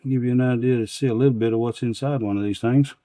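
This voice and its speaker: A man's voice: medium pitch, fairly even intonation, quick pace, warm tone, no room sound. Man casually talking through a repair, dry recording. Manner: unscripted, talking to himself while working. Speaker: repair channel host. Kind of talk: casual hands-on repair demonstration. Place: indoors at an electronics workbench.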